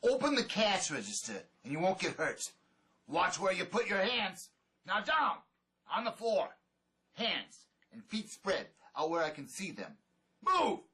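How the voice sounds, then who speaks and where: A man acting the part of a gruff, skeptical, sarcastic escaped convict and armed robber in a drama. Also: high-pitched, huge pitch swings, a little slow, bright, forceful tone, heavily acted. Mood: threatening. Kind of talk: dramatic script performance.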